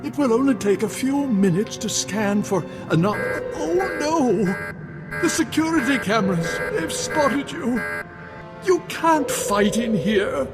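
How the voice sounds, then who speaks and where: An actor playing an elderly, anxious museum curator in a video game, proper, fretful and pleading. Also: male voice, very high voice, lively intonation, takes it slowly, full character voice. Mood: earnest, then panicked. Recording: music under the voice.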